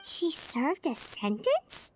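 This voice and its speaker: A woman voicing a small, childlike fairy girl companion in a video game, curious and eager, with a squeaky tone. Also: huge pitch swings, slow, a little hiss or hum, heavily acted, poor audio. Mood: curious, impressed. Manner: childlike, inquisitive. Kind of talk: cute video game character acting.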